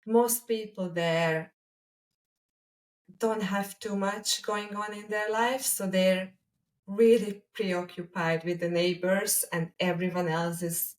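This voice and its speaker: A woman with a Hungarian accent in a reflective conversational discussion as a podcast co-host, speaking deliberately with pauses mid-sentence. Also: mid-range voice, steady tone, recorded from a distance, poor audio. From Hungary, a small village.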